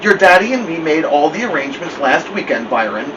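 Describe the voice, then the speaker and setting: Stern, exasperated mother played by a man, clipped storybook scolding, raised pitch, muffled recording. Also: big pitch swings, a little fast. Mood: firm, exasperated. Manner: firm, clipped, emphatic scolding. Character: stern, fed-up mother scolding her teenage son. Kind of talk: storybook read-aloud dialogue. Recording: muffled lo-fi, distant mic, loud hiss.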